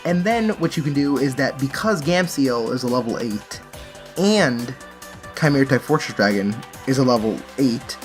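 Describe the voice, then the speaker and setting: A man talks casually, explaining things step by step like a hobby card player presenting a deck. His voice sits at an average pitch for a man. Music plays behind him as he goes.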